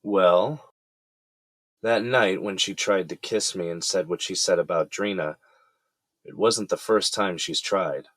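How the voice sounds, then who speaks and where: A man's voice: low-pitched, measured pace, a bit bright, no echo. A man playing a weary middle-aged defendant who explains himself in an earnest, conversational way in an audio drama. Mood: subdued, confiding.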